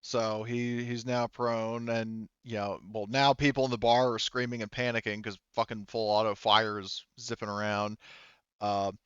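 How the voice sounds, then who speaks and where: A man's voice: on the low side, little pitch movement, moderate pace, a bit bright, clear, resonant tone, no echo, highs cut off. A man running a tabletop game as game master, narrating casually and swearing freely.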